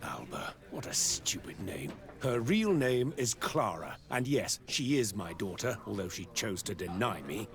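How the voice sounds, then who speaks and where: A man's voice: on the low side, very animated, unhurried, creaky, few pauses, exaggerated voice. Haughty, stern middle-aged father. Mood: curt, scornful. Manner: haughty, formal, bitter. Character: stern, pious, scornful father of grown daughter. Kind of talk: video game cutscene dialogue.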